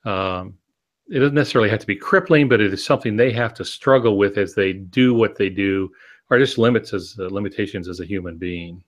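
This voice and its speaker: An older man in his sixties speaks in a fairly low voice as a podcast co-host. He explains his ideas instructively over a video call from his home office. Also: a little fast. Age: 60s. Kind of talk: explanatory podcast talk on writing.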